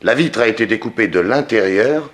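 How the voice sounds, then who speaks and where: Low-voiced, methodical male detective. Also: lively intonation, a bit bright. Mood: analytical. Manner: measured, courteous, probing. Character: calm, methodical male detective questioning witnesses. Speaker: actor in a detective radio serial. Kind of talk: 1960s French radio drama acting.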